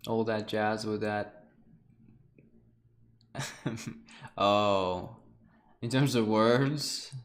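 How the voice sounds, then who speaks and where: A man's voice: on the low side, extremely slow, pauses often. A young man whose first language is Russian gives a casual, chatty art lecture on a livestream. He often laughs and talks loosely. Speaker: art lecturer streaming a class.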